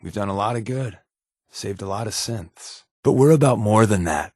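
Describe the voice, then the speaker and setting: An actor playing a wry, secretive spy in a video game, with a glib, offhand, conversational delivery. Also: male voice, fairly low pitch, moderate pace, a little mellow, no echo, clean studio sound, close to an everyday voice. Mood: earnest, confiding. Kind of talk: video game companion dialogue.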